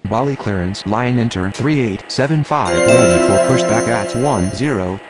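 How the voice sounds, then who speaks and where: A man's voice: fairly low pitch, animated, normal speed, over loud music, close to an everyday voice. A man voicing a formal, procedural pilot and controller in an air traffic game, speaking in clipped radio phrases. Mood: businesslike.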